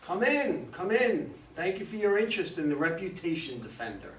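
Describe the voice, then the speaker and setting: Reassuring male professional played by a man, stage reading, fairly high voice, distant mic. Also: sing-song, moderate pace, a bit bright. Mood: courteous, brisk. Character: professional male consultant with air of authority, reassuring. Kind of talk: amateur staged play reading. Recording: echoey room, distant mic, faint noise.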